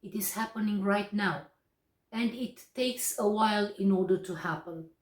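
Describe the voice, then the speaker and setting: A young woman from Romania with a Romanian accent, a native Romanian speaker, teaching English grammar in a careful, explanatory way with many pauses. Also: medium pitch. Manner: slow and deliberate, with frequent pauses and hesitations. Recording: echoey room, distant mic.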